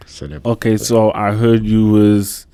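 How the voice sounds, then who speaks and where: A man's voice: lowish voice, level tone, measured pace, warm tone, clean tone, no echo. A man hosting a talk show, asking questions in a hesitant, casual way with many fillers. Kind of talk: casual interview.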